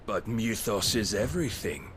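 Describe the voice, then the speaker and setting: A man's voice: fairly low pitch, expressive, normal speed, background noise, lightly acted. An actor playing an imperious, devout warrior king in a fantasy game, solemn and condescending. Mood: solemn fascination. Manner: solemn, commanding, archly condescending. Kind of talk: dramatic fantasy game acting. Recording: loud orchestral music behind.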